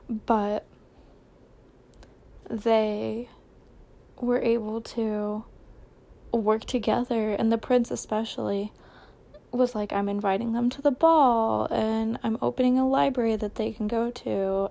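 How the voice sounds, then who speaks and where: High-pitched, slow-talking young American woman. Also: gravelly, mellow. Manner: informal, self-questioning, trailing off and correcting herself. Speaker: solo podcast host. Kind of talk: casual rambling podcast chat.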